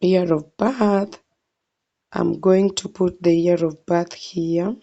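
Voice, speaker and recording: A woman's voice: on the low side, steady tone, takes it slowly, warm tone, dull audio. A young Kenyan woman walking viewers through an online sign-up form, thinking aloud and calling them guys. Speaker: tutorial vlogger. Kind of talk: screen-recorded walkthrough.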